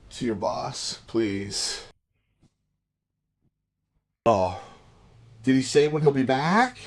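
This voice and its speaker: An actor playing a shady, evasive dealer in a crime radio drama, with a condescending, menacing manner. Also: male voice, on the high side, exaggerated voice. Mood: urgent, nervous.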